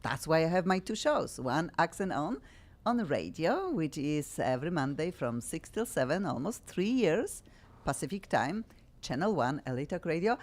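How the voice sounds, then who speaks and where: This is a middle-aged woman from Poland, speaking with a Polish accent as she hosts a talk show. Her voice sits low but leaps around with lots of melody. She talks in an effusive, bubbly way. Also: normal speed. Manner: effusive, bubbly, animated, frequent laughs. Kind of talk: excitable talk show chat.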